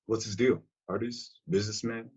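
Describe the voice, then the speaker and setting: A man playing a cocky, flippant, crude young man in a comedic script read over a video call, with a husky voice. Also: fairly low pitch, steady tone, moderate pace, a little mellow. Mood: teasing. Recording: dull lo-fi video-call audio.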